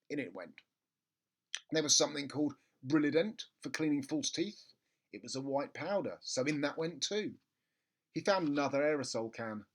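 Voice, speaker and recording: A man narrating a children's book expressively, with theatrical emphasis and comic pauses. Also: mid-range voice, a bit bright, slightly rough. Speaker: storybook reader.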